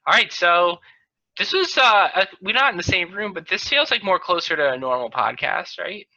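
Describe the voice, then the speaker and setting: A man's voice: high-pitched, expressive, moderate pace, pushed and bright. A man hosting a podcast over a video call in casual, joking conversation. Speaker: podcast host. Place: remote group video call. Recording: dull lo-fi video call audio.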